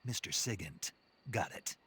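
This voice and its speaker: A hardened video game infiltrator with a deep, husky voice. He speaks tersely and dryly, questioning his orders with gruff scepticism. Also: lively intonation, unhurried, a bit bright, full character voice. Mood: curt. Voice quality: gravelly, husky. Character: gruff, sceptical male special-forces soldier on an infiltration mission. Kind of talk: video game cutscene acting.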